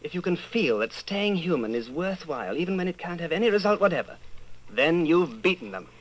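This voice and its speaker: An actor playing an earnest, fatalistic secret rebel in an old-time radio drama. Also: male voice, a little high, very animated, a little fast, rough recording. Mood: intense, fatalistic.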